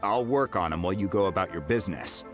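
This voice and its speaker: An actor playing an easygoing craftsman who talks in a relaxed, obliging way. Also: low-pitched, fast, natural voice. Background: soft game music.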